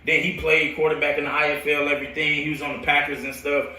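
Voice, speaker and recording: An American man who coaches football, telling stories casually and in an animated, slangy, rambling way on a live stream. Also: fairly high pitch, quick pace. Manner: animated, slangy, laughs often. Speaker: football coach hosting a storytelling show. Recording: roomy echo, distant from the mic.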